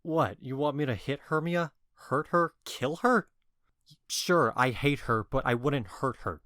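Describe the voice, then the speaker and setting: A man's voice: medium pitch, unhurried, a bit bright, no echo, studio quality, natural voice. A man playing a bewitched, cruel and impetuous young lover, scornful and vehement, in a modernized Shakespeare audio drama. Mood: cold, scornful.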